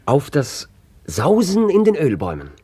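An actor playing a gentle, melancholy fiancé in a German radio play, speaking in a subdued, tender and patient way. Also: male voice, on the high side, huge pitch swings, moderate pace, breathy edge, dry recording, heavily acted. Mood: subdued, pleading.

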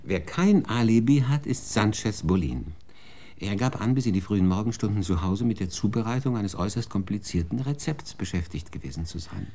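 Casting: bürokratischer Stellvertreter, tief und weich, unerschütterlich. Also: male voice, fairly low pitch, expressive, a little fast, dark tone. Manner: dry, bureaucratic. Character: unflappable male deputy official, matter-of-fact.

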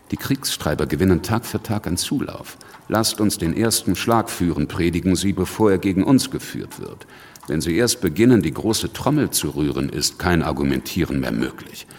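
A pragmatic, worldly politician of the revolution tells his tale in a German radio drama. The voice is very deep and creaky, dry and wry in manner. It rings with long reverb over music. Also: big pitch swings, moderate pace, full character voice. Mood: reflective. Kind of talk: German radio drama, first-person narration and dialogue.

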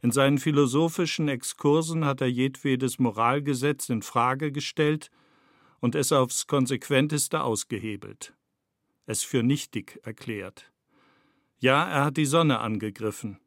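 A man reading a scripted essay as a radio narrator, with measured, rhetorical literary phrasing. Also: medium pitch, no echo, clean studio sound. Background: music with guitar.

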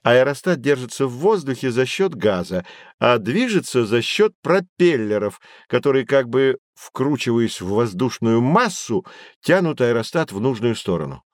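An actor playing a knowledgeable man who guides children through an educational audio drama, explaining things in a clear didactic way. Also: medium pitch, big pitch swings, moderate pace, no echo, studio quality, lightly acted. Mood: patient, explanatory. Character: knowledgeable male teacher guiding children on adventures. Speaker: guide explaining history and technology.

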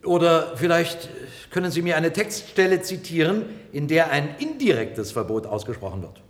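An actor playing a persistent, courtly defence lawyer in a German radio drama, speaking formally and emphatically. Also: male voice, on the high side, very animated, normal speed. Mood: insistent, eloquent. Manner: formal, rhetorical, emphatic delivery. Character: male defence lawyer, eloquent, persistent, courtly, pleading for robots. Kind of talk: 1960s-1990s German radio drama acting. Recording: reverberant hall, old radio play.

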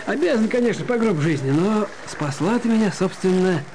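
An actor playing a meek former drunkard turned humble clerk, deferential and emotional in a theatrical radio play, with music behind him. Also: male voice, high voice, expressive, normal speed, a little mellow, rough recording. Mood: grateful. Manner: deferential, old-fashioned servile politeness, emotional storytelling. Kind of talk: theatrical radio play acting of classic literature.

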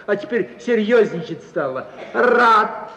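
An actor playing a doting, jovial old uncle who fusses and repeats himself in theatrical 1950s Russian radio style. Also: male voice, high-pitched, lively intonation, slow pace, bright, forceful tone, long reverb tail, full character voice. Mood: teasing. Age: older. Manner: chuckling, repetitive, avuncular, theatrical. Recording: dull vintage 1950s radio recording.

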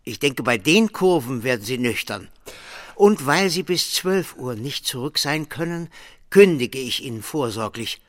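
An actor playing a blustering, bullying small-town merchant boss, gruff and sarcastic, in a German radio drama. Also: male voice, mid-range voice, expressive, measured pace, natural voice. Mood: sarcastic, threatening.

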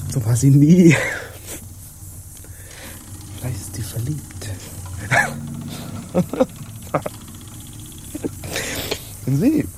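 An actor playing a feverish, eccentric pirate crewman in a radio drama, yearning and obsequious, speaking in a halting, ornate, confiding way. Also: on the low side, background noise, full character voice. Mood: musing, agitated. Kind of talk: theatrical radio drama acting.

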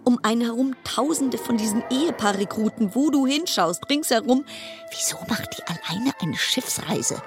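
Wry solo cruise passenger, music behind. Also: female voice, on the high side, animated. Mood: wry, exasperated. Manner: wry, musing, dramatic pauses. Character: wry, chatty lone woman cruise passenger. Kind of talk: German radio play monologue.

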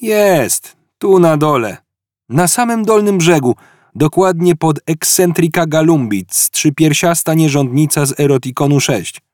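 A man's voice: fairly high pitch, animated, unhurried, a little mellow, no room sound, clean studio sound, voice pushed up. A man voicing an evasive, hedging galactic researcher. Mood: helpful. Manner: hedging, sheepish, with fillers.

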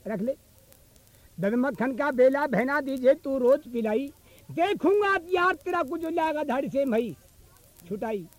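A man's voice: very high voice, no echo, rough recording. A man performing a folk tale as a traditional storyteller, moving between sung verse and spoken narration. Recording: field cassette recording with instrument accompaniment. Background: musical instrument accompaniment.

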